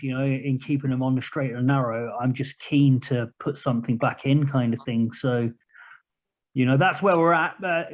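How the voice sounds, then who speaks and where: Candid man with a southern English accent. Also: a little high. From England. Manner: candid, plain-spoken, rambling. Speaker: podcast guest, charity project manager. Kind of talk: casual interview talk. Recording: muffled lo-fi recording.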